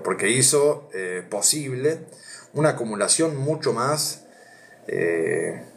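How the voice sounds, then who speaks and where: An Argentine man with a Rioplatense accent, reading philosophy aloud on a live stream and chatting with viewers, thinking aloud with many hesitations. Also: on the low side. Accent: Argentine Rioplatense Spanish. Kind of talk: casual live reading and chat.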